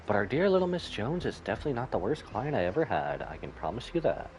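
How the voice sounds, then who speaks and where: A man's voice: medium pitch, sing-song, fast talker, noisy background. A man live streaming a game, casually commenting and reading dialogue aloud.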